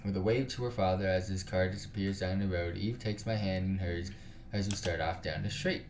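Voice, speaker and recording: Deep-voiced man narrating in a roomy space. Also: fairly even intonation, a little fast, noisy background. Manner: slangy, sweary in asides. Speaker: video game streamer reading a visual novel aloud. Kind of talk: narrative read-aloud with casual asides. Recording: roomy echo, music behind. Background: game music.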